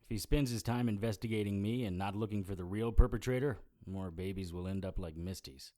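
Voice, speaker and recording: An actress playing a cool, sly, unbothered bargainer in an audio drama, with a dry deadpan delivery. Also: female voice, rumbling low, brisk. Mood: cool, teasing.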